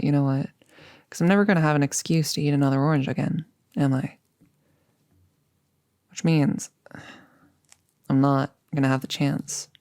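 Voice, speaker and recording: A woman's voice: sits low, a little mellow, dry recording, studio quality. A woman playing a chatty, upbeat girlfriend in an intimate ASMR roleplay, rambling casually and musing with hesitations. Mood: playful, nostalgic. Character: chatty, upbeat girlfriend about to become a vampire.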